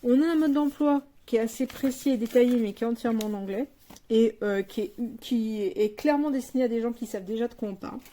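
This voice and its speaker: A woman's voice: a little high, quick pace, dark tone, slight background noise. A woman giving a casual, unscripted product review in a frank, plain-spoken, colloquial way.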